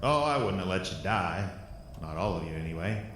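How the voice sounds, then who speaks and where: A low-voiced, menacing hobo miner. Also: male voice, expressive, brisk, a bit bright. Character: menacing, folksy hobo miner villain, gruff old-timer. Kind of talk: audio drama acting. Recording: echoey cave-like reverb, faint noise.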